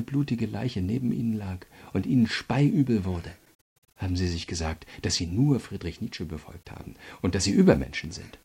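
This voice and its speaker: Radio crime drama prosecutor: low, creaky, subdued timbre, calm but insistent interrogator. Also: male voice, exaggerated voice. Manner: measured, insistent, pointed questioning. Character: calm, probing male state prosecutor interrogating a prisoner.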